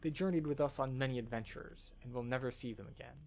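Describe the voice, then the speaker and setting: Man voicing a formal, gravelly expedition scholar. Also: medium pitch, slight background noise, lightly acted, low-quality recording. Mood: grave, pleading. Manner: formal, measured storytelling.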